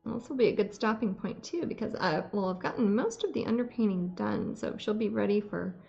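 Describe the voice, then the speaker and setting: A woman's voice: medium pitch, a little fast, gravelly, darkish tone, lo-fi sound, soft background music. A woman painting on a live stream, chatting and explaining her work as she goes. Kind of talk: casual chat while painting.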